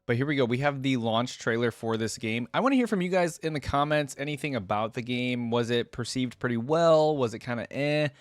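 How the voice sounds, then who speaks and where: A man's voice: mid-range voice, a little fast, hardly any pauses, dry recording. Casual man chatting in a dry recording. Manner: chatty, informal. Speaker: reaction video host, streamer. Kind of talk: casual off-the-cuff reaction commentary.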